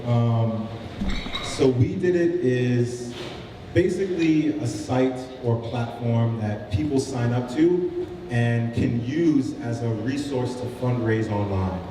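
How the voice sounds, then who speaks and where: A man who founded a startup gives an informal pitch talk to a live audience, with many fillers and restarts. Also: slow, noisy background. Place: event room with audience. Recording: roomy hall, mic from a distance.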